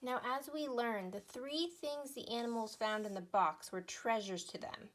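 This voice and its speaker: A woman teacher reading a children's story aloud and explaining it in a deliberate way for first graders. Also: a little high, poor audio. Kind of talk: read-aloud story narration and lesson instruction.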